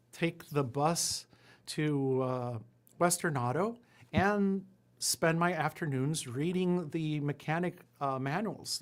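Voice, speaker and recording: Casting: fairly high-pitched man, forties, Spanish first language, rambling and self-deprecating. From Puerto Rico. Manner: rambling storyteller with frequent fillers and self-deprecating asides. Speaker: guest, lawyer and entrepreneur. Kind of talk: casual interview chat.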